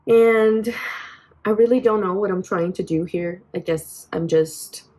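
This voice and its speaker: Slow, hesitant Brazilian woman in her twenties. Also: mid-range voice, dark tone. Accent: Brazilian Portuguese. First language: Portuguese. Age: 20s. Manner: hesitant, reflective, many fillers and restarts. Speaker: vlogger. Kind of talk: personal venting vlog. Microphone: phone.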